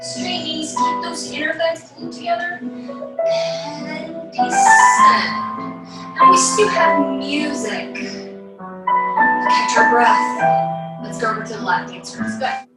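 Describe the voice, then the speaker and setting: A woman's voice: sits high, slow pace, pauses often. Echoey, distant woman teaching ballet. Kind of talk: instructional dance class, counting and cueing while demonstrating. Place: small bedroom, indoors. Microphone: far camera across the room. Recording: very echoey room, distant mic, music behind. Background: classical piano music.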